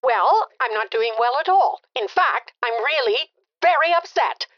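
A woman's voice: high-pitched, animated, measured pace. A woman playing an upset, anxious middle-aged mother, an insistent caller in an audio comedy drama. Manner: agitated, insistent. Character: upset, anxious mother complaining about her bill. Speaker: actor. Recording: dull, band-limited phone line.

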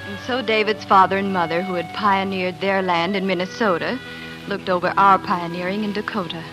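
A woman's voice: mid-range voice, steady tone, fairly quick, close to an everyday voice, low-quality recording. An actress playing an earnest young pioneer farm wife and mother, telling her story in a 1940s radio drama. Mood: proud, reflective. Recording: 1940s radio recording with music.

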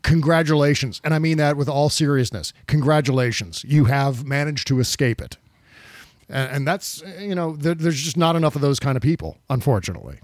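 Middle-aged male podcast host, casual and profane, slightly high voice. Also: fairly quick, dry recording. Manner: conversational, profane, many fillers. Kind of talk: casual political chat.

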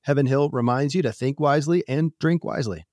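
A man's voice: mid-range voice, fairly quick, darkish tone, slight background noise. A man from Kentucky reading a scripted first-person advertisement. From Kentucky, United States. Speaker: ad reader.

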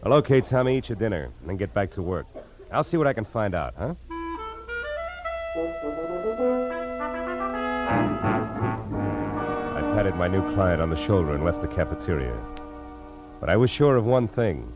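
A man plays a hard-boiled detective in old-time radio style. His low voice is cool and slangy, moving between wry narration and clipped questioning, with music in the recording. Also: lively intonation, normal speed, close to an everyday voice. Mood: steady, wry. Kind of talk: 1940s radio noir, narration and dialogue.

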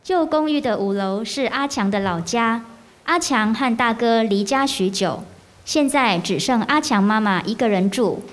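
A woman giving scripted narration for a radio drama in a measured storytelling way. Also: on the high side.

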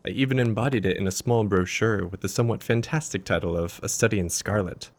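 An actor playing a loyal, concerned army doctor in a Victorian mystery audio drama, with an earnest, formal way of speaking. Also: male voice, on the low side, quick pace.

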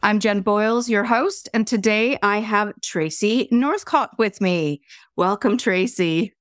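A woman's voice: medium pitch, slow pace, no room sound, soft background music. A woman hosting a podcast, reading her intro and asking a guest questions in a friendly way. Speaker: podcast host. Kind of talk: scripted intro, then interview.

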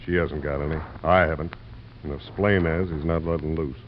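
Deep-voiced, surly tough guy. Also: steady tone, normal speed. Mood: curt, surly. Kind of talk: 1950s radio drama acting. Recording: dull noisy vintage radio recording.

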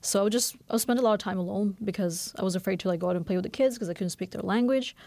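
Novelist guest, woman in her thirties, quick, candid, lots of "you know", some vocal fry, dry studio sound. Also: mid-range voice, no echo. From Bangladesh. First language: Norwegian. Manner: fast, candid, animated with many fillers. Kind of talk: conversational radio interview.

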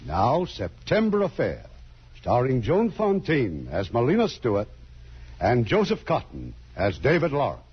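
Husky, lively male radio host. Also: medium pitch, measured pace. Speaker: producer and host. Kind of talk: formal scripted radio narration. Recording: muffled old radio broadcast, faint music.

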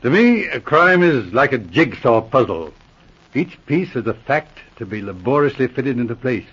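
A methodical, dry-witted Mountie sergeant with a husky edge and a mid-range voice. He talks with patient, teasing calm in 1940s radio style. The sound is a dull, lo-fi old radio broadcast. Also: mid-range voice, normal speed.

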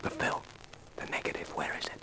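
An actor playing a smug, scheming young killer in a 1950s radio crime drama, threatening and often hushed. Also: male voice, fairly quick, in a whisper, close to an everyday voice. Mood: hushed menace. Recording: noisy vintage radio recording.

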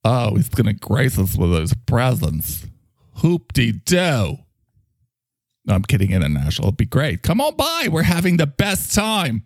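A man's voice: medium pitch, wildly swooping pitch, a little slow, no room sound, studio quality, full character voice. A man as a camp, teasing talking microphone. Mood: sardonic, teasing.

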